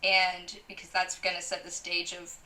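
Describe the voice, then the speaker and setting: A woman with a bright, clear tone, answering slowly and thoughtfully. She hesitates with small fillers as she thinks her answers through. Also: fairly low pitch, completely flat delivery. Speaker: interview panelist.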